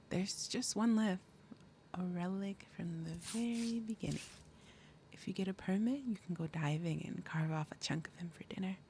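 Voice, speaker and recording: A woman voicing a big, possessive demon mother in an ASMR roleplay, falsely sweet and threatening, speaking deliberately and dropping into breathy murmurs. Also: medium pitch, dark tone, slight background noise, lightly acted. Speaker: roleplay audio performer. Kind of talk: ASMR roleplay, acted monologue.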